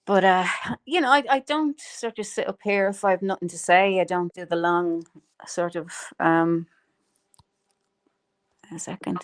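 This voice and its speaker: A woman's voice: lowish voice, fairly even intonation, a little slow, long pauses, smooth. A woman, hosting a casual live stream chat and filling her talk with ums and laughs. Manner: chatty, lots of fillers and laughs. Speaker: livestream host.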